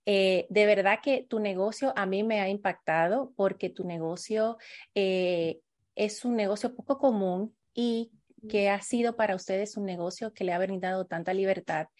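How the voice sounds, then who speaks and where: A woman's voice: medium pitch, a little mellow. A woman hosting an interview, asking several questions in a row and rephrasing them as she goes. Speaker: interviewer host. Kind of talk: casual interview conversation.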